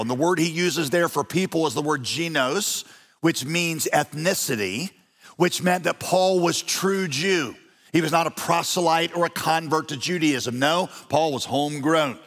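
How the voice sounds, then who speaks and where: A man preaching with an animated, folksy delivery and touches of humor. His voice sits a little high for a man. It rings out through the long reverb of a large church auditorium. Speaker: pastor. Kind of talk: sermon.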